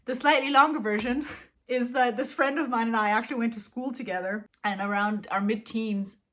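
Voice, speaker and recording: A woman's voice: fairly high pitch, fairly even intonation, normal speed, a bit bright, slight background noise. Giggly woman. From Austria. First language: Austrian German. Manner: chatty, giggly, self-deprecating, lots of fillers. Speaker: language-learning vlogger. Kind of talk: casual chat to camera.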